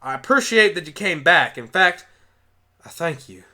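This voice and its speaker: Male voice as country mare, blunt, forthright, folksy, bright forceful tone, radio play. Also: on the high side, lively intonation, normal speed. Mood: earnest, wistful. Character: stubborn, blunt grown-up female country farm pony. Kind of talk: amateur radio play acting.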